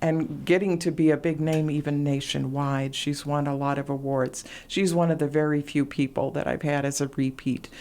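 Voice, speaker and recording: A middle-aged woman, a radio host talking as a guest and sharing stories in relaxed conversation, with fillers. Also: low-pitched, moderate pace, few pauses. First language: Latvian. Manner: warm storytelling, reflective, frequent fillers. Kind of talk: casual interview chat.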